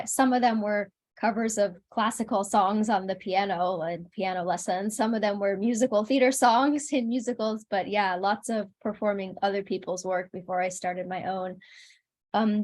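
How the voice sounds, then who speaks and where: Casting: woman as a singer being interviewed, average pitch, casual and thoughtful, agreeable delivery. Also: a little mellow.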